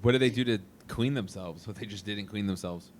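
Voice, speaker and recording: A man's voice: fairly low pitch, a little fast, slight background noise. Playful man hosting a casual podcast. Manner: playful, musing aloud, jokey asides. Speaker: podcast host. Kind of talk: casual podcast chat with students.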